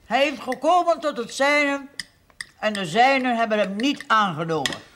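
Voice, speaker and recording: A solemn scripture reciter in a television drama. He declaims slowly at a very high pitch. The old soundtrack is clearly noisy. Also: lively intonation, a bit bright. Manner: slow, solemn, raised-voice recitation. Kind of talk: television period drama acting.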